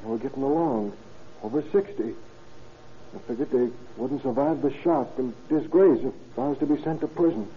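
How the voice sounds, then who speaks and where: An actor playing a young murderer confessing to police, matter-of-fact and self-justifying, in a 1930s radio drama style. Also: male voice, medium pitch, normal speed, lightly acted. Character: young male murderer calmly rationalizing his confession to police. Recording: tinny, noisy 1930s radio recording.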